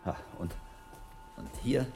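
A man's voice: slow pace, lightly acted. A man playing a bookish monk in a radio drama, exclaiming with greedy longing over books. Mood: rapturous. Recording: echoey stone room, music behind.

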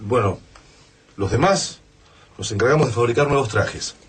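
An actor with an Argentine accent playing a practical, protective, resigned survivor, matter-of-fact, in a radio drama. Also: male voice, mid-range voice, expressive, normal speed, husky. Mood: sober, practical.

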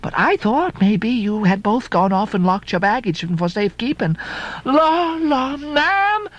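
Male reader voicing a panicky young maid, shrieky high voice, storybook reading. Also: very animated, a little slow, lo-fi sound, exaggerated voice. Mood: alarmed. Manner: high, squealing cries. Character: flustered young chambermaid, shrill and panicky.

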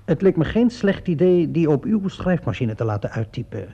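Relentless police inspector, radio drama, mellow lively voice, deliberate insinuating questioning, muffled vintage recording. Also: male voice, on the high side, gravelly, breathy edge, exaggerated voice. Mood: insinuating, confident. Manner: insinuating, theatrically deliberate, mock-polite questioning. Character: shrewd, relentless male police inspector cornering a suspect. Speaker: actor playing a police inspector. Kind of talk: radio play acting.

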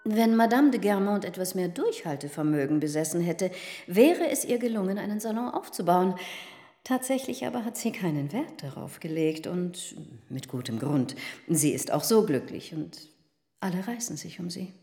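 A woman's voice: lowish voice, animated, normal speed, dark tone. An actress playing a composed, matter-of-fact society woman in a radio drama. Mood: cool, assessing. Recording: echoey reverberant hall.

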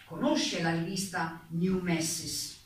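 A woman's voice: fairly low pitch, steady tone, slow pace. A woman acting a formal, persistent interrogator who puts official, pressing questions in a stage drama. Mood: formal, probing. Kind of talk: stage courtroom drama dialogue. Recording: distant, very echoey stage recording, faint noise.

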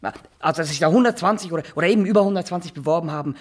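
An actor playing a nervous, boastful Swiss small-town barber in a radio drama, rambling, halting and always justifying himself. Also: male voice, on the high side, breathy edge. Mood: eager, nervous. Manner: rambling, halting, repeats himself, keeps adding tag questions. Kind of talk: naturalistic radio drama acting.

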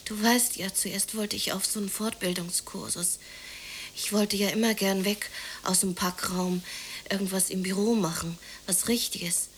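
A woman's voice: medium pitch, fairly even intonation, moderate pace, slight background noise, close to an everyday voice. An actress in a German radio drama playing a bitter, anxious, unemployed working-class woman who confides in plaintive, colloquial speech. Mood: weary, confiding. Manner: colloquial, confiding, plaintive, sometimes near whispering.

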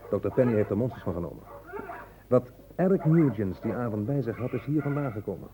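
A man's voice: mid-range voice, lively intonation, quick pace, lightly acted. An actor playing an easygoing, wryly joking male police inspector in a Dutch radio play. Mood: wry, assured. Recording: faintly noisy old radio recording.

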